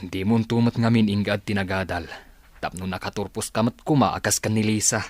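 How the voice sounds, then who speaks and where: A man's voice: on the low side, fairly even intonation, a little fast, dry recording, lightly acted. A man acting a stern, practical, doubting father in a radio drama. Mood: sceptical.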